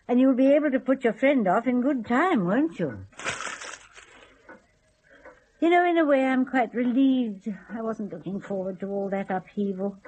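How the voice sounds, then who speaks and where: Woman acting elderly domineering mother, British accent, very animated intonation, fussy, self-pitying, old-fashioned, radio drama, slight background noise. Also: medium pitch, natural voice, poor audio. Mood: sighing, self-consoling. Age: older. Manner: fussy, condescending, swooping delivery.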